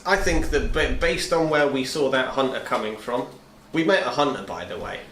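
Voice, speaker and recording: A man's voice: mid-range voice, animated, moderate pace, a bit bright. A British man playing a tabletop roleplaying game, talking casually and bantering in character. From Britain. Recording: roomy echo, faint background noise.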